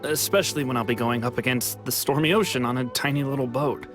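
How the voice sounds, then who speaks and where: A man plays a worried young fugitive who sighs and hesitates, sounding regretful and tired of running. His voice sits at an ordinary male pitch. Game music plays clearly under him. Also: steady tone, fast, natural voice. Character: anxious young man hiding from authorities. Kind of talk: video game voice acting.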